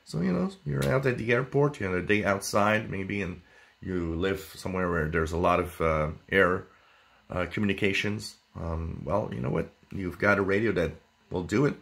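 A man presenting radio gear in a casual, unscripted way, with halting, hesitant phrasing. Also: sits low, vocal fry, fairly bright tone, slightly rough. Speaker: amateur radio video presenter. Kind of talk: casual product talk, unscripted.

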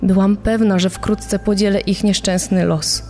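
A woman's voice: mid-range voice, fairly even intonation, unhurried, warm tone, faint music behind, lightly acted. An actress playing a bereaved wife and mother, grave and distressed, in a true-crime podcast dramatization. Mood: grieving, grave. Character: bereaved wife and mother recounting a mountain tragedy.

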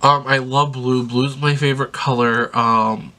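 A man's voice: mid-range voice, fairly even intonation, measured pace, background noise. A young man in his twenties, congested from a sinus infection, chatting enthusiastically and rambling with frequent ums as he unboxes a gift in a small bedroom, filmed close to the camera. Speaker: vlogger. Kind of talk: casual unboxing vlog.

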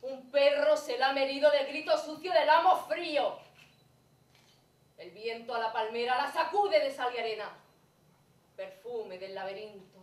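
A woman reciting poetry on stage in a dramatic, deliberate spoken-word delivery. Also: high-pitched, recorded from a distance. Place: dark theatre stage, indoors. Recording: noisy, echoey theatre recording from afar.

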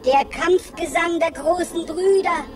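An actress voicing a solemn alien jungle creature in a radio drama, speaking in a chanting way. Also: female voice, very high-pitched, a little slow, a little mellow. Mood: awed.

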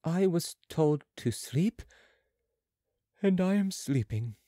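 A man voicing an obedient young male patient in a trance, placid and slow, for an audiobook. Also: mid-range voice, sing-song, a little mellow, breathy edge, dry recording, studio quality, full character voice. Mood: entranced calm. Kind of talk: audiobook character voice.

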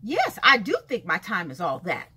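A 51-year-old woman vlogging a sassy, emphatic rant from a bright room with books, repeating lines for effect and calling listeners baby and honey, gesturing as she talks. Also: lowish voice, moderate pace, breathy edge. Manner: lively hand gestures while talking. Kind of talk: casual talking-head rant. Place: bright room with shelves of books by a window, indoors.

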